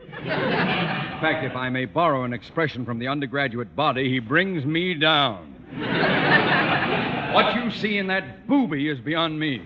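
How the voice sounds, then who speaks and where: Pompous grumpy middle-aged principal dad, old radio comedy, muffled noisy recording. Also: male voice, fairly high pitch, lively intonation, unhurried, pauses often. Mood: irritated, sarcastic. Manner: pompous, stuffy formal phrasing. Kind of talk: 1940s radio sitcom acting.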